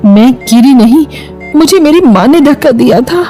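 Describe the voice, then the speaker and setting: A woman's voice: high-pitched, animated, moderate pace, mellow, soft background music. A woman playing a wronged young wife, pleading yet defiant, in a Hindi moral story.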